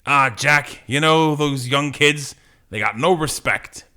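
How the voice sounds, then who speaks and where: A man's voice: mid-range voice, slow, sharp tone. Man doing a grumbling old New Yorker.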